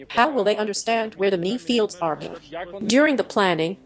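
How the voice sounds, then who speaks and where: A synthetic woman's voice reading a machine-translated voice-over dub with stiff, even phrasing and odd word choices. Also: medium pitch. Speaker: AI dub voice for every speaker.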